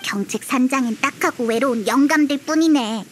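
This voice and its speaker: A woman voicing a small, childlike flying companion in a video game, cheeky, excitable and prone to blurting things out. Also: sits high, brisk, a little hiss or hum, close to an everyday voice. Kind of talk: video game character acting.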